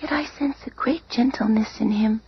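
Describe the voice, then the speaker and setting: A woman acting a soft, high-voiced innocent blind girl. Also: normal speed, close to an everyday voice. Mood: wondering, subdued. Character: gentle, trusting blind young stepdaughter of a villain. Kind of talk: radio drama acting. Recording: noisy, muffled old radio broadcast.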